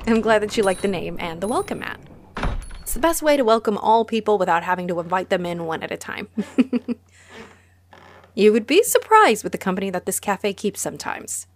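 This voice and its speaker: A woman's voice: mid-range voice, very animated, moderate pace, a little hiss or hum, natural voice. A woman playing a friendly, easily flustered vampire who runs a cozy cafe, chatty and apologetic, in a roleplay audio drama spoken to the listener. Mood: cheerful, chatty.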